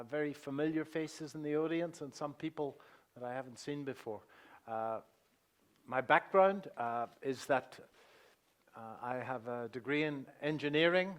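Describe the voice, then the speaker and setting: A middle-aged man with a Northern Irish accent presenting a live seminar to a conference audience, chatty and joking, with frequent "uh" fillers and quiet asides. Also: mid-range voice, unhurried, clean tone. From England.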